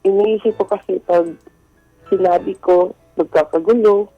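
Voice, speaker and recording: A woman's voice: medium pitch, steady tone, measured pace, no room sound, dull audio, soft background music. A Filipino woman calling in to a radio show, confiding casually in a polite, hesitant manner. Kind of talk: casual personal confession.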